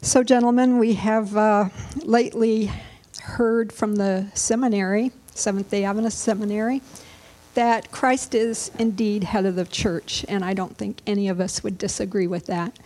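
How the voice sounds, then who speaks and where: A woman from Michigan moderating a church panel discussion, speaking gently and earnestly. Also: medium pitch, fairly even intonation, normal speed, poor audio. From Michigan, USA.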